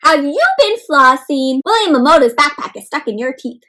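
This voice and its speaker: A woman voicing an excited, bossy kindergarten child at pretend play in a picture book, exclaiming as she goes. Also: high voice, moderate pace. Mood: matter-of-fact checkup.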